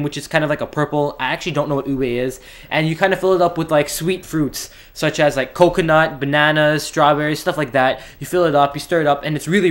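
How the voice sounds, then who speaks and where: Young man, slightly high voice, rambling vlog storytelling, chatty, restarts a lot. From Canada. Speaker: vlogger. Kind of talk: casual storytelling commentary.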